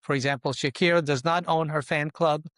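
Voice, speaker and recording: A man in his sixties from Colombia, answering interview questions in deliberate short phrases that often list things. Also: on the high side, steady tone, fairly bright tone, dry recording, clean studio sound. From born in Colombia, immigrated as a small boy. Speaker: podcast guest, luxury consultant and investor.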